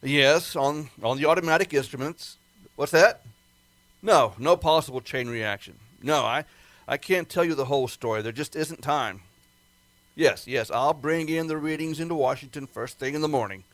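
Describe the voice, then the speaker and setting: A man's voice: fairly high pitch, moderate pace, a bit bright, dry recording, lightly acted. A man acting an earnest government project man in an amateur radio play. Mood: urgent, insistent. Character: Hank, earnest government project man, urgent then grimly resigned.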